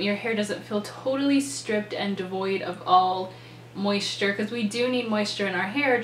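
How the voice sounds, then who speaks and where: A woman's voice: mid-range voice, fairly bright tone. A young woman in her twenties reviewing hair products to camera from her bedroom, chatty and enthusiastic with a lot of ums and likes, and a little stuffed up and nasal from a cold. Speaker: beauty vlogger. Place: bedroom, indoors. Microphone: camera in front, seated. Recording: echoey room with faint hum.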